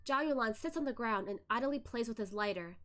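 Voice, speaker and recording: A woman reading a web novel aloud in a steady storytelling manner. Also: on the high side, fairly quick. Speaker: novel reader. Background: soft background music.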